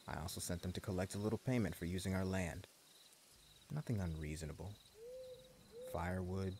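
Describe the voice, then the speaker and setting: A deep, gravelly-voiced gang leader of the wasteland, smug and cruel, who taunts his captives in a mock-friendly drawl, as a man acts him out in an audio roleplay. Faint crickets and night ambience hum behind him. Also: darkish tone. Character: menacing male post-apocalypse gang leader, smug and cruel. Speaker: voice actor.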